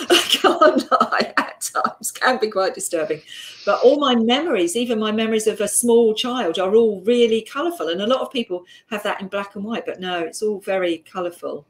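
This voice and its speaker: A woman's voice: mid-range voice, normal speed. Woman host, chatty, musing, faint background hiss. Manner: chatty, musing, laughs easily. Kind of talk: casual video-call chat.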